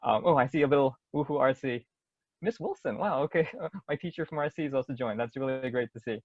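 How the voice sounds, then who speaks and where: A man's voice: mid-range voice, fast, no echo. A man in his twenties from Hong Kong, a guest speaker on a webinar, talking in a friendly way and laughing easily. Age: 20s. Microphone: video call. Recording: dry dull lo-fi sound.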